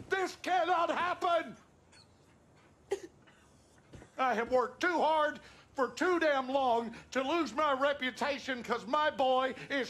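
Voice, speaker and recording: A man's voice: very high voice, fairly bright tone. An actor playing an abusive, bitter Southern husband and father, coarse and menacing, in a dramatic video game, with a Southern US accent. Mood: bitter, aggrieved. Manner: menacing, coarse, folksy cursing.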